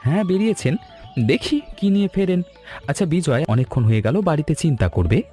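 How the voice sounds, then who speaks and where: A man's voice: a little high, expressive, fairly quick, warm tone, soft background music, close to an everyday voice. A man playing a cheerful young married man in a cartoon story, speaking affectionately and familiarly. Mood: cheerful, fond. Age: young adult.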